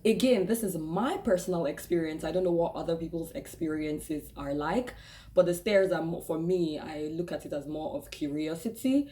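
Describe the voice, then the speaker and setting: A woman with a Nigerian accent speaks in a fairly low voice, chatting openly to her camera. Her talk is loose and conversational, full of "like" and "um", and she breaks into laughter and acts out other people's voices. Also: moderate pace, dark tone. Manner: chatty and candid, with frequent "like" and "um" and restarts. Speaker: vlogger. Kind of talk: casual personal vlog talk.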